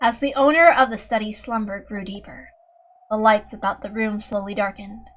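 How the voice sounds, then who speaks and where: A woman's voice: medium pitch, fairly even intonation, normal speed. A woman reading a fantasy story aloud as an amateur narrator, in a dramatic style. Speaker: amateur reader.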